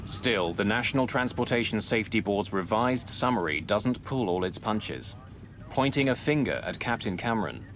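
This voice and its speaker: A man giving scripted, dramatic documentary narration in a measured, grave way, close on a studio mic. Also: lowish voice, expressive, background noise. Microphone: close studio voice-over mic. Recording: music bed under narration.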